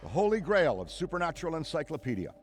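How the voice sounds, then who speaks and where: A man's voice: fairly high pitch, big pitch swings, fast, slight background noise. A middle-aged man playing a nostalgic, effusive, bookish veteran ghost hunter in a game cutscene, talking in a wordy, theatrical way. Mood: excited. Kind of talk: video game cutscene monologue.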